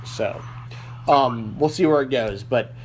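A man's voice: medium pitch, takes it slowly, a little hiss or hum, low-quality recording. A man hosting a casual wrestling podcast, rambling with frequent restarts and fillers. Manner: rambling, lots of likes and restarts. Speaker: podcast host. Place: Nashville.

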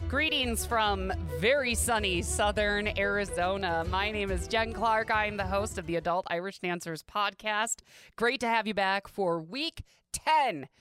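A woman's voice: mid-range voice, a little slow, a bit bright, no room sound, soft background music. A middle-aged woman from the American Midwest with an American accent, hosting a podcast in a candid, casual, conversational way. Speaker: podcast host. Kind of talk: casual personal podcast monologue. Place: inside a home closet.

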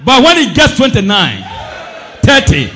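High, lively male preacher, impassioned. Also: unhurried. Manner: impassioned preaching, swings between shouted declarations and low asides. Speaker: pastor. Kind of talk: sermon. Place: church service.

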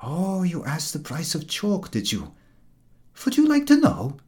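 A man's voice: on the high side, wildly swooping pitch, unhurried, a little mellow, voice pushed up. A man voicing a self-important, quarrelsome dog in a storybook, indignant and pompously polite. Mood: indignant, challenging. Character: huffy, self-important male milk-cart dog, quarrelsome.

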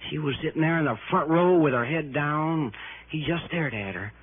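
A man's voice: fairly high pitch, normal speed, breathy edge, phone-quality sound. An actor playing an anxious, insistent insurance company man in a 1960s radio drama, emphatic and earnest in delivery. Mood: grave, intense. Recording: thin, faintly noisy old radio broadcast.

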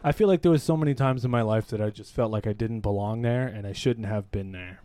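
A young man with a fairly low, mellow voice tells stories in a loose, profane podcast chat. The sound is dry and close-miked, with no room echo. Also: fast. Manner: casual, profane, animated storytelling with laughs. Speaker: podcast co-host. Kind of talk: casual chat.

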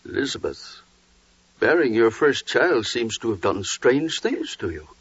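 Very husky, earnest biblical father. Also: medium pitch, very animated, unhurried, a bit bright, dry recording, exaggerated voice. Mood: indignant. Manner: earnest, theatrical, ponderous. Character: troubled, humble, pious biblical father. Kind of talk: 1940s radio drama acting. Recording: muffled, dry vintage 1940s radio recording.

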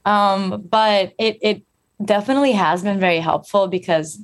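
A woman, a singer answering interview questions in a friendly, relaxed way. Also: medium pitch, moderate pace. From Turkey. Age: 20s. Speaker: musician guest.

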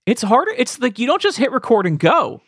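A man's voice: very high voice, sing-song, a little fast, fairly bright tone, husky, dry recording, studio quality. A man in his thirties co-hosting a podcast, chatting in a wry, rambling way with many restarts. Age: 30s. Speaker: podcast co-host. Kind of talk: casual chat.

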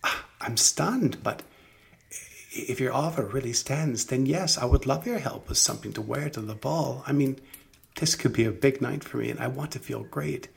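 Young storybook heroine, played by a man: earnest, informal, strongly gravelly with vocal fry. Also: medium pitch, normal speed. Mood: amazed, hopeful. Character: earnest, hardworking young woman, Cinderella type. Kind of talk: storybook character reading.

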